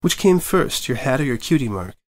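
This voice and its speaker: A man's voice: mid-range voice, big pitch swings, brisk, pitched above the performer's own voice, natural voice. A man voicing a meek, supportive young mare who works at a newspaper, in a fanfic audiobook reading. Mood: sweet.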